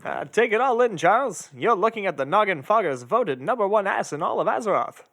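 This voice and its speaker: A man playing a vain, hot-tempered, hard-drinking detective with a loud, pompous, theatrical delivery in an adventure parody. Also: sits high, expressive, brisk, background noise. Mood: smug. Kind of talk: old radio adventure parody acting.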